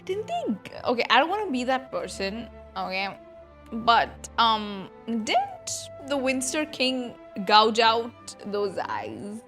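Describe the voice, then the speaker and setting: A woman's voice: a little high, very animated, slow, fairly bright tone. Casual woman streamer musing aloud. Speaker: streamer reacting to a game. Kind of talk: casual live reaction commentary. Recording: faint game music behind.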